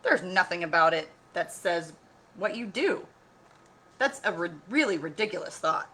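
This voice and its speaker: A woman's voice: lowish voice, animated, moderate pace, sharp tone, breathy edge, heavily acted. A woman voicing a haughty elephant king who is weary of his crown, in a grand, emphatic storybook style. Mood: sneering, haughty.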